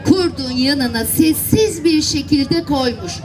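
A woman's voice: high voice, lively intonation, takes it slowly, a little mellow. A woman storyteller narrating a tale to children and coaxing them to act it out with simple, repeated instructions. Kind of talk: animated storytelling and directing children in acting out a tale. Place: outdoor seaside children's event. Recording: live event, faint crowd and noise. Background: faint crowd and ambient noise.

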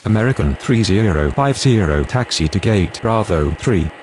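A sober, deep-pitched airline radio man, played by a male actor, in a simulation game. His calls are procedural and clipped, with faint vehicle noise behind. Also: animated, normal speed, darkish tone, close to an everyday voice. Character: adult male pilot and controller, sober, procedural. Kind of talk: clipped aviation radio phraseology.

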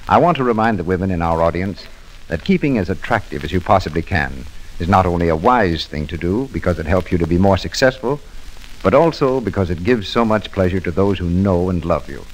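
A man reading a scripted 1940s radio advertisement in a formal, measured manner. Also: sits low, expressive, rough recording. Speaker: radio commercial announcer. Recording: old radio broadcast recording.

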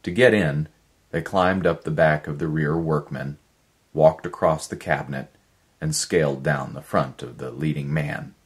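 A middle-aged man reading a story aloud as a narrator, in a dramatic scripted style. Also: extremely low pitch. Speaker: audiobook narrator, voice actor. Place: indoors, living room.

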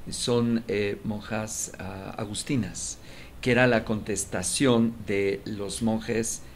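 Give me a middle-aged male TV host with a low voice, talking eagerly in a small studio. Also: fairly even intonation, takes it slowly, vocal fry, a little hiss or hum. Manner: eager, chiming in, formal when presenting. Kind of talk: casual studio conversation.

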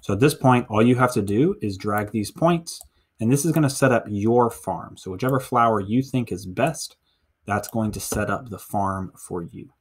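A man's voice: on the low side, normal speed, slightly rough. A man in his thirties with an American accent, a teacher explaining a lesson step by step in an instructional screencast. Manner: step-by-step explaining, counting aloud. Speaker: middle school teacher.

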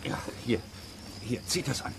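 An actor playing a kindly, worn-out uncle, somewhat breathless, in a children's radio play. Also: male voice, measured pace, lightly acted. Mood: urgent. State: weary, out of breath. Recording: clear background noise.

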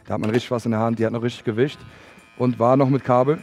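A man's voice: medium pitch, steady tone, racing, darkish tone, slight background noise. A man in his thirties, a barber, giving casual explanations of his craft into a headset mic in a sports hall. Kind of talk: casual explanation while working. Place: large indoor sports hall.

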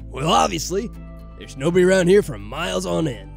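A man acts out a jolly old bush pilot from a visual novel. He booms in a folksy, hearty way, with big pitch swings over faint music. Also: sing-song, a little fast, gravelly, heavily acted.

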